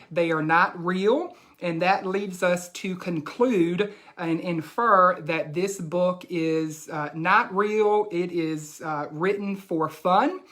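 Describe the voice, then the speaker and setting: Slow-paced woman reading aloud to children. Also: on the low side, a bit bright. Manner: instructive, deliberate, addresses children directly. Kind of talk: children's read-aloud with explanations.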